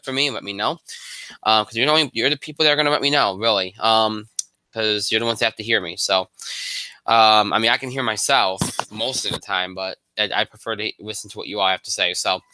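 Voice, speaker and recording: A man's voice: bright, forceful tone, no echo, low-quality recording. Congested man hosting a sports show. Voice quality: high voice for a man. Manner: rambling with many fillers and restarts. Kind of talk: live solo sports show.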